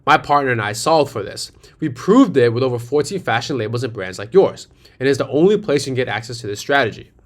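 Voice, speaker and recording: A man's voice: medium pitch, animated, fast talker. A man with a New York accent, an agency founder giving a persuasive sales presentation over slides, asking rhetorical questions and repeating his key phrases. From New York City.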